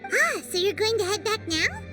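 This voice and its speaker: A woman's voice: very high-pitched, big pitch swings, normal speed, bright, forceful tone, heavily acted. A woman voicing a tiny, chirpy fairy-like companion in a game cutscene who speaks of herself in the third person, curious and blunt. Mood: eager. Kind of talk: video game cutscene dub. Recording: game audio with music underneath.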